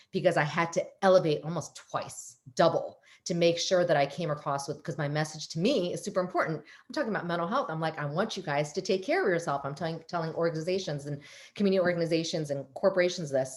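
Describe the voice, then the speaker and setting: A woman psychologist speaking animatedly on a panel over a video call, with a loud, emphatic voice. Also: lowish voice. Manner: animated, emphatic, self-correcting.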